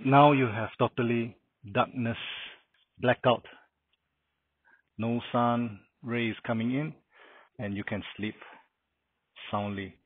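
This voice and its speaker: A man's voice: mid-range voice, steady tone, slow pace, pauses often, husky, no room sound. Dry, phone-like man giving a car tour. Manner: plain, instructive, with frequent uh fillers. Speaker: vlogger demonstrating a car camping setup. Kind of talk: casual how-to walkthrough. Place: outdoor carport beside a house. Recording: dry, phone-like narrow sound.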